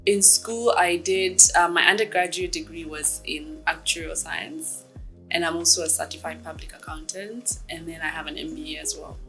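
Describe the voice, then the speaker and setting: A woman in her thirties from Kenya, a startup executive giving reflective, measured interview answers with frequent ums. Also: deep voice, sharp tone, faint music behind, poor audio.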